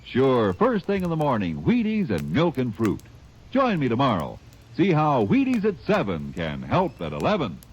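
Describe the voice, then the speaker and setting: A man's voice: mid-range voice, huge pitch swings, moderate pace, darkish tone, slightly rough. A male announcer delivering an upbeat, salesy vintage radio commercial.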